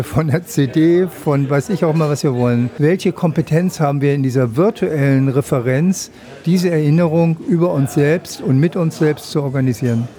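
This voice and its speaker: A man's voice: a little high, warm tone. A man, interviewing a guest for radio with long, elaborate questions. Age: 60s.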